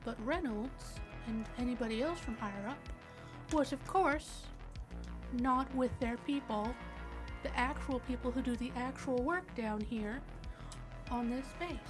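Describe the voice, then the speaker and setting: A woman with a fairly high voice plays a tabletop roleplaying game. She chats casually in character with theatrical, salty phrasing, and music plays clearly behind her. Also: measured pace. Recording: background music.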